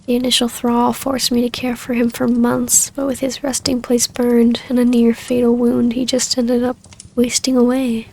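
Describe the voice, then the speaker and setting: A woman with a fairly high voice and a strong creak plays a wistful vampire baroness in an ASMR roleplay. Her soft, hesitant delivery stays within a narrow pitch range, intimate and tender. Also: completely flat delivery, normal speed, a little hiss or hum.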